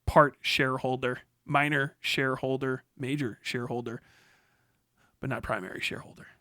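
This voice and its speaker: A man's voice: medium pitch, fairly even intonation, normal speed, bright, forceful tone, no room sound. An American man in a blunt, combative, profane livestream rant, speaking unscripted into a close mic. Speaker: livestream commentator.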